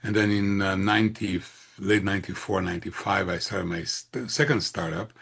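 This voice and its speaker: A man in his sixties speaks with a Romanian accent in a very low, creaky voice with a husky grain. He answers as an interview guest in a conversational way, pausing often as he pieces his phrases together. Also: steady tone, a bit bright. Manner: halting, hesitant phrasing with frequent pauses.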